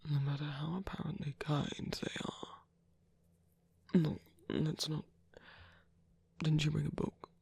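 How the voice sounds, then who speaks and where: A man playing a weary, soft-spoken rebel villain and lover in an intimate ASMR roleplay, speaking haltingly in a breathy near-whisper. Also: fairly high pitch, monotone, heavily acted. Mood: reluctant, stubborn. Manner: hesitant, halting, murmured with pauses.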